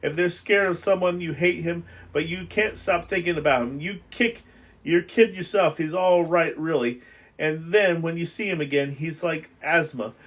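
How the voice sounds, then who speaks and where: A man reading aloud and voicing an anxious, fretful schoolboy whose voice rises toward a squeak. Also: moderate pace, fairly bright tone. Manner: pleading, anxious, voice rising.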